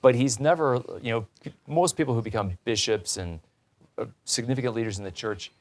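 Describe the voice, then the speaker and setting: A man's voice: medium pitch, a little fast. A man hosting a talk show, interviewing conversationally and restating points as he leads into questions.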